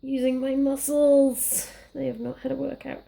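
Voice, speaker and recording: Welsh woman, young, slightly croaky from a cold, chatty and rambling, warm gravelly tone. Casual crafting vlog. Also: high voice, little pitch movement, moderate pace. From Wales. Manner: chatty, rambling, self-deprecating. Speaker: scrapbooking crafter.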